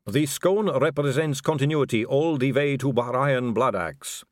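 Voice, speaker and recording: A man voicing an aristocratic lady vampire in an audiobook, smooth, measured, knowing and condescending. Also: mid-range voice, dry recording, studio quality, voice pushed up, lightly acted. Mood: knowing, weary. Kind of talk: audiobook character dialogue.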